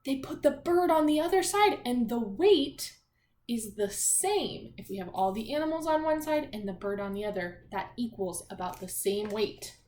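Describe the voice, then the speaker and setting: Woman, primary teacher, slightly high pitch, animated sing-song explaining for kids, encouraging, reverberant room. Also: moderate pace. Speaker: primary school math teacher. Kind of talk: read-aloud and lesson for young children. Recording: echoey room, faint background noise.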